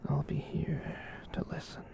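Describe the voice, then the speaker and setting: A performer voicing a gentle, soft-hearted giant catboy in a breathy whispered ASMR comfort roleplay, tender and hesitant as they ramble. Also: moderate pace, close to an everyday voice. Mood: tender, reassuring.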